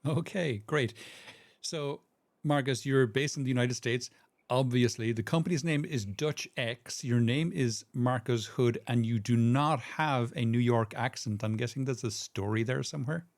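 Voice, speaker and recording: A man's voice: mid-range voice, normal speed. A male podcast host with a mid-range voice, leading the interview with easy, conversational follow-up questions. His voice sounds dry and close to the microphone, with no echo.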